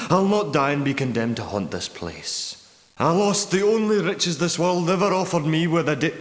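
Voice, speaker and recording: A man's voice: fairly high pitch, natural voice. Echoing, weary old Scottish war veteran, acted by a man. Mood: resolute, bitter. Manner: guarded, plain-spoken, dramatic delivery. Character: weary, wary old war veteran trapped underground for years. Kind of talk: video game character dialogue. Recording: echoey, long hall-like reverb.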